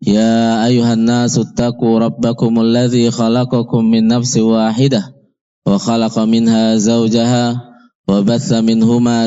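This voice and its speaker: Very monotone, smooth-voiced man reciting. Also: medium pitch. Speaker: Islamic preacher. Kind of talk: formal Arabic sermon opening, recited. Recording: dull, muffled sound.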